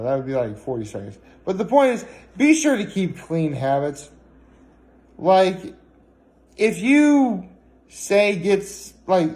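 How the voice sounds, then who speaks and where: Man, higher-pitched voice, exhausted, casual vlogger, halting, repetitive, rambling. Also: a little mellow. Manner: halting, repeats and circles back to his point. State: tired. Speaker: daily vlogger. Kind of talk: casual rambling vlog monologue.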